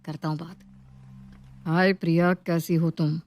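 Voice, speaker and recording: A woman's voice: on the low side, little pitch movement, takes it slowly, a little mellow, voice pushed down. A woman voicing an irritable, lovestruck young male office worker in casual, slangy Hinglish for a story. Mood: curious, smitten. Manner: casual Hinglish slang, grumbling or musing to himself.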